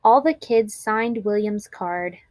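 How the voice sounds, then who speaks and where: A woman narrating a children's storybook aloud in an expressive, clearly enunciated storytelling manner. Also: medium pitch, takes it slowly, no room sound.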